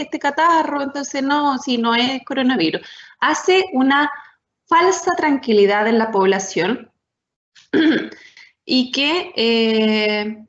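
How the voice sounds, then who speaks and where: A woman giving a university science talk, explaining step by step with frequent tag questions and fillers. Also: high-pitched. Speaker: lecturer. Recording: echoey room, dull lo-fi sound.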